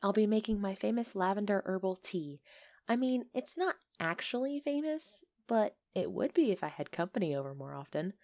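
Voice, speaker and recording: Chatty village alchemist, played by a woman: friendly, expressive, easily flustered, medium pitch, ASMR roleplay delivery, slightly dull recording. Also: creaky. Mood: chatty, playful. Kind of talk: ASMR roleplay acting, one-sided conversation.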